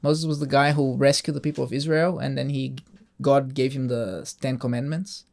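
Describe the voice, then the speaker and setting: A man's voice: medium pitch, level tone, moderate pace, vocal fry, a little mellow. A man hosting a Bible reading, patiently explaining scripture to a child with coaxing questions. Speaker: host of a Bible reading show.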